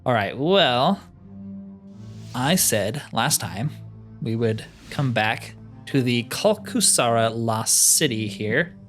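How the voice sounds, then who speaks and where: A man's voice: medium pitch, expressive, slow, fairly bright tone, faint music behind. A man giving casual game commentary, thinking aloud in an easygoing way. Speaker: gamer narrating own roleplay playthrough.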